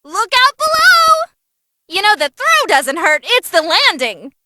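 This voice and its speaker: A woman voicing a bubbly, bloodthirsty young female tournament announcer in a video game, commenting on fights with gleeful, teasing theatrical excitement. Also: very high voice, measured pace, dry recording, studio quality, full character voice. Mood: lively.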